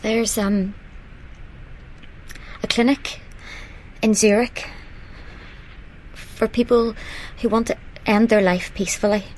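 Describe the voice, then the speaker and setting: A woman's voice: medium pitch, steady tone, slow, pauses often, a little hiss or hum, close to an everyday voice, low-quality recording. A woman acting in a radio play as an anguished, protective young woman, pleading and defensive under strain. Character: anguished, protective young woman caring for her dying partner.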